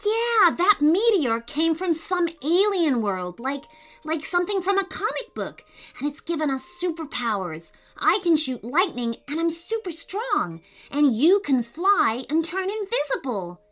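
A woman voicing an excitable young boy, an eager comic-book fan who speaks in exclamations. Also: high-pitched, unhurried, hardly any pauses, faint music behind, pitched above the performer's own voice, full character voice. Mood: excited.

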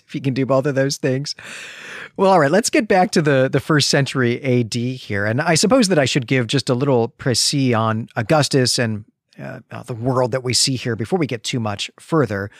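Male podcast co-host, mid-pitched, professorial explainer in casual discussion, very dry close-mic sound. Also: no echo. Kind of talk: conversational literary podcast discussion with explanatory asides.

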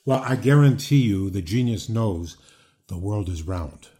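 A man's voice: lowish voice, lively intonation, a little slow, mellow. A man in his sixties chatting casually as a podcast host, rambling with many fillers and restarts. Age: 60s. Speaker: podcast host, former wrestler. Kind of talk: casual interview chat.

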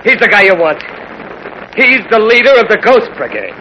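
Nervy male henchman turned informer, vintage radio crime serial. High-pitched, excitable, accusing, noisy old recording. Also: moderate pace, highs cut off. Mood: excited accusing. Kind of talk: 1940s radio drama acting.

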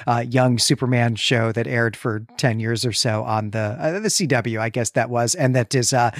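A man's voice: mid-range voice, moderate pace, no room sound, clean studio sound. A man co-hosting a casual literary discussion podcast, chatty and readily amused. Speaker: podcast co-host.

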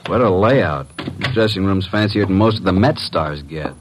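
A tough, breezy legman from an old radio detective show. His voice is low and manly. He talks in brisk, slangy lines with a wisecracking edge. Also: animated, heavy background noise. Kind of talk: old-time radio detective drama acting.

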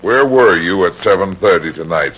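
An actor playing a weary, hard-boiled private detective, terse and grave, in the style of 1940s radio. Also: male voice, deep voice, lively intonation, moderate pace, husky. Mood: cool, stern. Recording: dull old radio recording with music.

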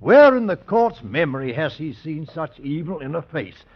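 A man's voice: fairly high pitch, sing-song, normal speed, husky, full character voice. A man playing a florid, pompous prosecutor in grandiloquent old radio drama style. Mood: accusing. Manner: grandiloquent oratory. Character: florid, pompous male court prosecutor, theatrical and outraged. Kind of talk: 1950s radio drama acting. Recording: dull vintage radio broadcast.

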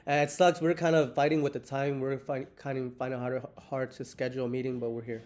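A relaxed man in his thirties hosts a loose, rambling podcast conversation over a remote call. He swears casually and drifts from thought to thought at an even, middle pitch. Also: quick pace. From United States. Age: 30s. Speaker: podcast host.

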